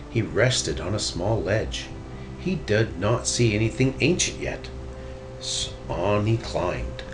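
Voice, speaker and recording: A man narrating a children's picture book as a storybook reader. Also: lowish voice. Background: music.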